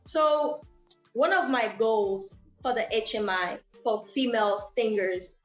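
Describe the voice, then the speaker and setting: A bubbly young woman with a thick Haitian accent, speaking a bit high and full of "you know"s as a guest on a music interview. Her voice sounds dull and roomy, with faint music underneath. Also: slow. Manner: bubbly, upbeat, full of fillers. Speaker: guest singer. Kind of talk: interview conversation.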